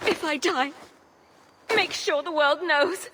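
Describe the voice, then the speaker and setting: Very shrill, melodramatic swooning noblewoman. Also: slow, very noisy. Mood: mock anguish. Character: melodramatic swooning noblewoman feigning a dying emergency. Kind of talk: video game cutscene acting.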